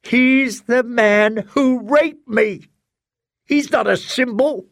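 Male reader as enraged young daughter, high and strained, gravelly, dry close read. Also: slow, fairly bright tone, no room sound, exaggerated voice. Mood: bitter, furious. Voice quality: strained, accusing. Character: furious anguished young adult daughter. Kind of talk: audiobook character acting.

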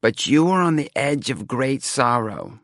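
A man's voice: medium pitch, very animated, fairly bright tone, no echo, studio quality, pitched above the performer's own voice. A man voicing a theatrical middle-aged woman fortune-teller with a thick Eastern European accent, portentous and insistent, in an audiobook. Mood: foreboding. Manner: portentous, dramatic pauses. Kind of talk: audiobook character acting.

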